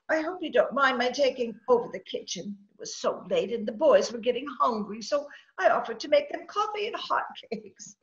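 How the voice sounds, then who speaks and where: Casting: actress as sweet innocent older mother for an old-time radio comedy-drama, flustered chatterer, very animated and high. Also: normal speed, muffled recording. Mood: cheery, nervous. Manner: chatty, flustered, twittering, genteel.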